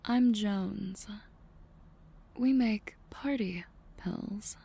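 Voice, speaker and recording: A woman playing a deadpan, unenthusiastic drug maker in an audio drama, terse and cool. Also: medium pitch, extremely slow, creaky, breathy edge, full character voice. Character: deadpan, unenthusiastic female party-pill maker. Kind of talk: scripted audio roleplay acting.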